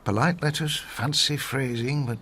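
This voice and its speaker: A man's voice: mid-range voice, moderate pace, pushed and bright, close to an everyday voice. Male actor as knowing, world-weary witness. Radio drama, clipped and matter-of-fact, mid register, faint noise. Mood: cynical.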